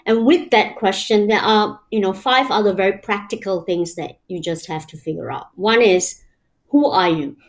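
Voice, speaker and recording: A woman's voice: medium pitch, moderate pace. Reflective woman from Malaysia. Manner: reflective, explains in numbered steps with many "you know" fillers. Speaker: guest. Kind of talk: casual interview talk.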